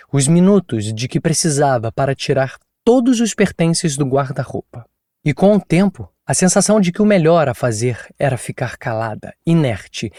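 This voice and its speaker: A man narrating an audiobook in an even, measured storytelling manner from a studio booth on a close mic. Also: mid-range voice, no echo. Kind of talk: scripted narration.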